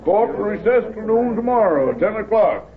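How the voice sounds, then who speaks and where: An American actor playing an authoritative small-town judge with an official, deliberate manner in a 1930s radio drama. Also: male voice, high voice, lively intonation, crowd noise. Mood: stern, official. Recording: tinny, noisy, distant-sounding 1930s radio recording.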